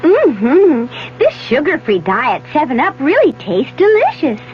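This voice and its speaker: A woman's voice: high-pitched, wildly swooping pitch, unhurried, heavily acted. An actress voicing a perky, bossy storybook girl as a taste tester in a 1970s comic radio commercial. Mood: perky, pleased. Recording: muffled 1970s radio broadcast, faint music.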